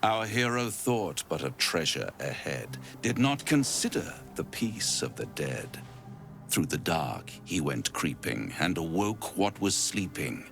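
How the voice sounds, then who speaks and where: An actor playing an urbane, scheming devil in a video game, speaking in a theatrical, grandiloquent and menacing manner. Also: male voice, deep voice, big pitch swings, measured pace, vocal fry, fairly bright tone, faint music behind, full character voice. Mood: ominous reciting. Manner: theatrical, silky, verse-quoting, grandiloquent. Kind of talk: video game dramatic acting.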